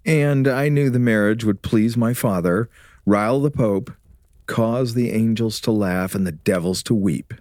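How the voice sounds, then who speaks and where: A man playing a warm, teasing, scholarly husband and father in a radio drama, with a measured, preacherly way of explaining. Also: fairly low pitch, no echo, studio quality, lightly acted. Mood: earnest, instructive. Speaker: actor in an audio drama.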